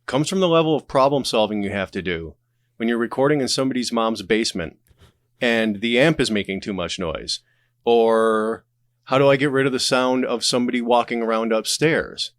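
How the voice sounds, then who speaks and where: A man's voice: mid-range voice, moderate pace, no room sound, studio quality. A man speaking as a podcast guest in casual, reflective conversation. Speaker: podcast guest, audio drama creator.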